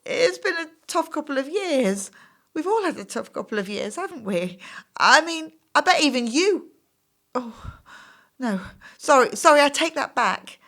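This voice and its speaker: An actress playing an anxious, defensive job applicant in her thirties in a stage play, hesitant, apologetic and quick to justify herself. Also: high-pitched, sing-song, a bit bright, heavily acted. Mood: overwhelmed, upset.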